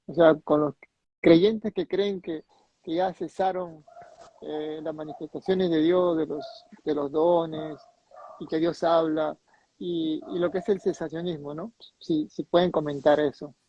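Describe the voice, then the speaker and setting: A man's voice: a little high, fairly even intonation, a little slow, warm tone, muffled recording. A man moderating a panel discussion, asking questions hesitantly with many stammers and giving brief affirmations.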